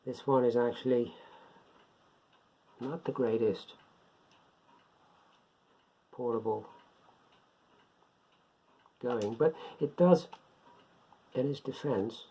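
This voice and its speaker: An older man giving a casual, unscripted product demonstration, talking haltingly as he thinks aloud. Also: mid-range voice, warm tone, breathy edge. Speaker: presenter of a beginners' radio hobby video. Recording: dull lo-fi sound, faint background noise.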